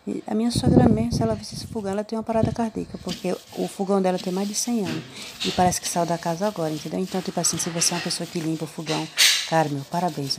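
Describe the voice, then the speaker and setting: A middle-aged woman talks through a home cleaning video, teasing and full of slangy asides. Her voice sits slightly low. The phone recording carries heavy background noise from the kitchen. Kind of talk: casual chatty tutorial. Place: home kitchen, voice heard while cleaning a stove. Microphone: phone camera held close over the stovetop.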